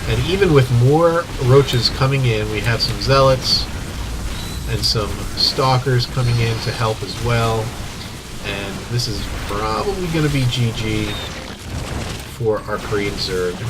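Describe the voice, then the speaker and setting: A man with a stuffy, sniffly nose giving excitable play-by-play commentary on a strategy game replay, with casual asides, on an old microphone. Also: mid-range voice, background noise. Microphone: old microphone he wants replaced.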